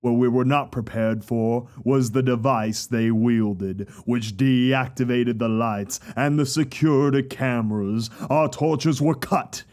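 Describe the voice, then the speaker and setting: Guy playing a zealous preacher pony, kinda high male voice, formal and oratorical, audio drama sermon. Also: a little mellow. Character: zealous male pony preacher recounting a prophet's rise to his congregation.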